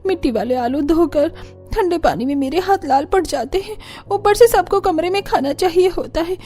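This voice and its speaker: A harried young daughter-in-law from a cartoon family drama. Her voice is very high and soft-edged, with a theatrical, put-upon, sharp-tongued manner, over clear background music. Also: moderate pace. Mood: tearful complaining. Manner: complaining, theatrical, sarcastic.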